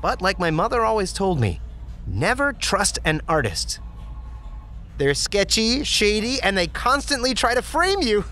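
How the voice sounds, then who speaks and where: A man's voice: sits high, sing-song, unhurried, bright, forceful tone, full character voice. An actor playing a chatty, joking office worker who laughs at his own puns in an audio drama. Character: goofy pun-loving male office worker Andy. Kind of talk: audio drama interview monologue.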